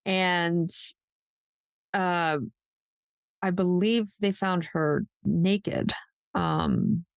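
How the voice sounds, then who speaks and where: A woman's voice: on the low side, fairly even intonation, extremely slow, clean tone, no room sound. A woman podcast co-host telling a true crime story conversationally, in an informal, somewhat hesitant way.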